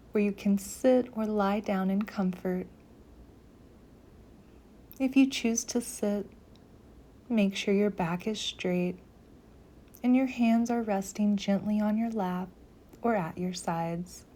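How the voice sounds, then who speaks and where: A woman leading a guided meditation in a soft, gentle, soothing voice, with phrases often trailing into near-whispers. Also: mid-range voice, fairly even intonation, takes it slowly, pauses often.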